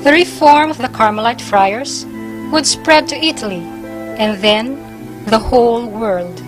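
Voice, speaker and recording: A woman's voice: on the high side, unhurried, low-quality recording. Formal woman narrator over music. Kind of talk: scripted historical narration for a stage play.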